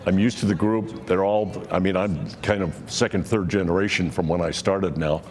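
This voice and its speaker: A man's voice: low-pitched, fairly even intonation, moderate pace, a little mellow, a little hiss or hum. An older man, a sports arena announcer, chatting in a plain-spoken, self-assured way in an interview. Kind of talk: interview chat.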